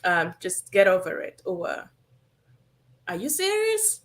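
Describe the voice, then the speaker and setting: A woman's voice: mid-range voice, huge pitch swings, slow. A woman therapist from Uganda, whose first language is Acholi, speaking earnestly and reflectively as an interview guest. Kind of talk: interview answers.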